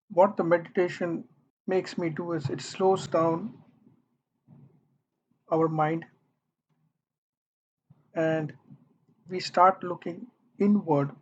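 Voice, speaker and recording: A meditation guide speaking gently and deliberately, leaving long pauses between short phrases. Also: medium pitch, slight background noise. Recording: dull, muffled lo-fi audio.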